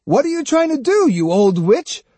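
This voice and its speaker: Man doing an excitable, high-pitched young boy. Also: normal speed, breathy edge, no echo, heavily acted. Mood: defiant. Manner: urgent, exclaiming. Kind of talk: audiobook character acting.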